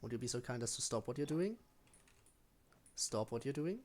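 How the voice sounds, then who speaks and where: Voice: medium pitch, expressive, moderate pace, darkish tone. Casual game streamer with a mid-range voice. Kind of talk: casual live gameplay commentary.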